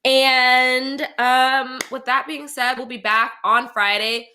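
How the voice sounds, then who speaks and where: Bright-voiced young woman hosting. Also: high voice, flat delivery, slow pace, slight background noise. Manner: playful, teasing, informal. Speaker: talk show host. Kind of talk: casual, chatty online show hosting. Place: remote livestream.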